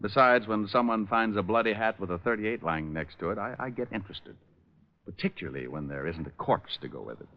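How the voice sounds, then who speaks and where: A man's voice: on the low side, lively intonation, brisk, a little hiss or hum. An actor playing a tough, wisecracking male private detective, wry and breezy, in 1940s radio style. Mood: businesslike, curious. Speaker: lead actor and first-person narrator. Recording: dull vintage radio recording with music.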